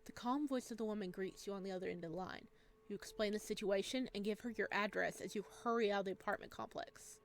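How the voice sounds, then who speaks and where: A woman game streamer reading visual novel text aloud with casual, expressive commentary. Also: mid-range voice, fast talker, slight background noise.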